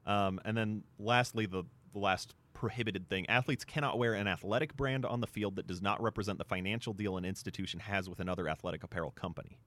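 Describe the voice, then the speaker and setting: A man's voice: on the low side, fast, hardly any pauses, fairly bright tone. A man co-hosting a sports talk show, explaining things point by point in a discussion. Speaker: sports radio show co-host.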